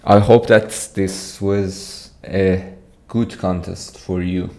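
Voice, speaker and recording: A man's voice: sits low, fairly even intonation, slow pace, darkish tone. A young man with an Iranian accent, chatting casually on a livestream, often repeating words as he answers viewers. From Iran. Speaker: streamer.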